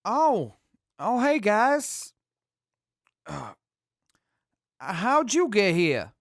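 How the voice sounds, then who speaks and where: A man playing a drunk, sheepish, good-natured crewman in an audio drama, rambling haltingly with slurred speech. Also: high voice, wildly swooping pitch, no room sound, studio quality, heavily acted.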